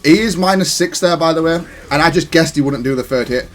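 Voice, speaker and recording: A man's voice: on the high side, brisk. Casual man analysing his own gameplay over music. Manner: self-critical, matter-of-fact, even-tempered. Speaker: gaming content creator commentating on own matches.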